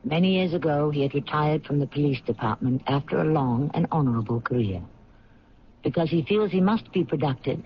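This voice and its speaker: A man's voice: a little high, natural voice. A man narrating a radio mystery in a dramatic, scripted storytelling style. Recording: muffled, noisy old radio drama recording.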